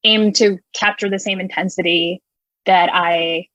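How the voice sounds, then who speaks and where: A woman's voice: fairly low pitch, fairly even intonation, a little slow, a bit bright, dry recording, muffled recording. A young woman who grew up in Indonesia, a music writer talking as a guest in a casual interview, enthusiastic and full of fillers. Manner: chatty, enthusiastic, many fillers and laughs.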